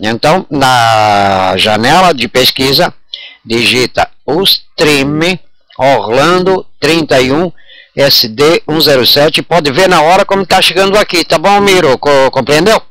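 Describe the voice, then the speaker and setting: A man's voice: fairly high pitch, lively intonation, a little slow, fairly bright tone. A man talking as an amateur radio operator, explaining step by step, repeating himself and checking he is understood. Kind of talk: radio contact talk.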